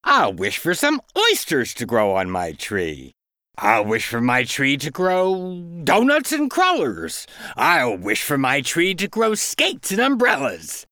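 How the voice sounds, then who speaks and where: A man voicing a greedy, boastful young male duck in a storybook reading, bragging and shrieking with excitement. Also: mid-range voice, slow, dry recording, clean studio sound, voice pushed up, heavily acted. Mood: gleeful.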